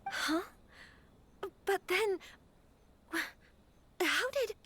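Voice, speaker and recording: High-voiced, hesitant young noblewoman. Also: animated, extremely slow, exaggerated voice. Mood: surprised. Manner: formal, gentle, hesitant. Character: polite young noblewoman, troubled bride-to-be, earnest and hesitant. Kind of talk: scripted game dialogue.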